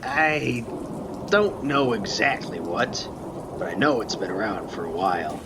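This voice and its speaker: A man playing a calm, wise, mysterious lighthouse keeper in a student radio play, speaking in a deliberate storytelling way with pauses. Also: fairly low pitch. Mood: calm, reflective. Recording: rain and thunder noise behind. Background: rain and thunder.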